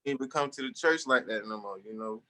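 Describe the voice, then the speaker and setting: A man's voice: mid-range voice, fast, bright, forceful tone. A man in his twenties, a guest musician, talking loosely and trailing off in a casual interview. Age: 20s.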